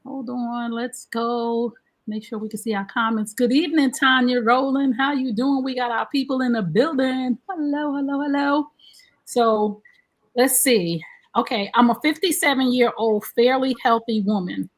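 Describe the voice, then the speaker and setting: Woman host, fifties, talkative live-stream chat; slightly higher voice. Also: moderate pace, poor audio. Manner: chatty, animated storytelling. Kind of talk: casual live-stream talk show.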